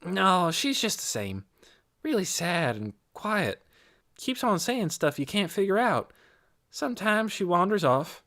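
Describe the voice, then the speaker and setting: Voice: medium pitch, very animated, unhurried, fairly bright tone, voice pushed up. A narrator voicing a casual, matter-of-fact and slightly impatient girl in an audiobook. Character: plain-spoken, hurried, slightly impatient girl. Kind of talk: audiobook character voice.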